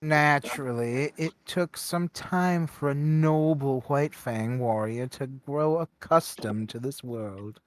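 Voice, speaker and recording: A man voicing a proud, prickly, boastful noble warrior in an amateur game fan dub, with halting delivery. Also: medium pitch, close to an everyday voice. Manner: halting delivery with throat clearing.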